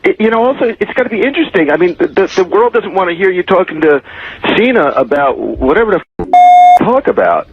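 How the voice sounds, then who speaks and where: A man's voice: sits high, sing-song, brisk. A man on a talk radio show, questioning someone in an incredulous, stammering, badgering way. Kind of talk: unscripted radio banter. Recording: dull lo-fi radio recording.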